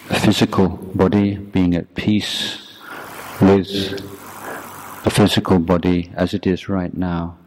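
Male meditation guide, deep and flat in delivery, deliberate short phrases, some noise on the recording. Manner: measured, deliberate, short phrases with long pauses. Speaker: meditation teacher, monk. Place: youth retreat. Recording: clearly noisy background.

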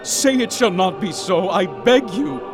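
A man's voice: high-pitched, expressive, unhurried, over loud music. A man playing a trembling, pleading writer. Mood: anguished. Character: frightened male writer pleading with a ghost. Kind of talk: radio drama acting.

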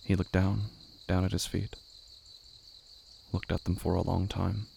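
Man as gravelly, low, somber storyteller. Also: level tone, dark tone, exaggerated voice. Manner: measured, clipped phrases. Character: somber, detached storyteller of a horror tale. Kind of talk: scripted literary narration. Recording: faint cricket-filled background noise.

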